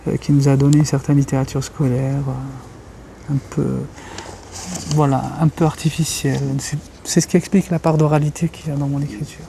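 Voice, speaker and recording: Thoughtful man, mellow voice. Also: on the high side, flat delivery, normal speed, gravelly, a little hiss or hum, poor audio. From Algeria. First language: Kabyle. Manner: thoughtful, searching for words. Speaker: interviewee, writer and journalist. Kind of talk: reflective interview talk.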